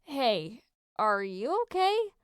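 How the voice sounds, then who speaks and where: A cheeky teenage boy from an adventure story, acted out by a woman, pitched high for a lad. He teases and pushes with brash confidence, recorded very dry. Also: sing-song, slow pace, clean tone, no room sound. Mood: concerned. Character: Leo, cheeky, teasing teenage boy demigod. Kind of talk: dramatic reading of a fan story.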